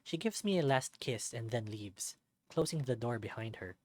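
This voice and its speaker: A man reading a visual novel aloud as narrator, in an expressive, dramatic storybook style. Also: medium pitch, normal speed, dry recording.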